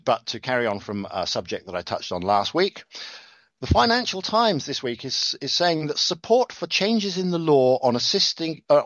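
A man comments on the news with a dry, sardonic edge, tripping over words and circling back as he reads. His pitch rises and falls a lot as he talks. The sound is dry and close, with no room echo. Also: fairly bright tone. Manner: sarcastic, rambling, stumbles over words and restarts. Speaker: podcast host reading news. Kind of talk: casual commentary on news articles.

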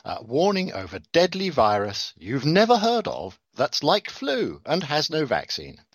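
A man's voice: fairly high pitch, huge pitch swings, measured pace, dry recording. A man hosting a casual talk show, reading news articles aloud and adding sarcastic comments, often stumbling and restarting his words. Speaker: podcast host reading news.

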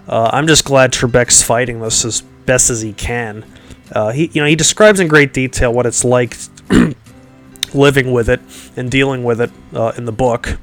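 A man hosting a podcast in casual, rambling chat, with a nasal, congested-sounding voice and lots of fillers and restarts. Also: medium pitch. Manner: rambling, many fillers and restarts, chuckles often. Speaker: podcast host.

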